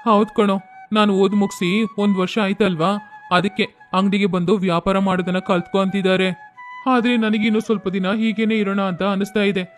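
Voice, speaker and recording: A man's voice: high voice, little pitch movement, brisk, lightly acted. A man playing a lazy, carefree young son. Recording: faint background music.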